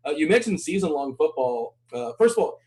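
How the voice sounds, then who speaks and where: A man's voice: a little high, very animated, brisk, darkish tone, low-quality recording. A man hosting a casual interview, asking questions in an upbeat, playful way. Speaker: host.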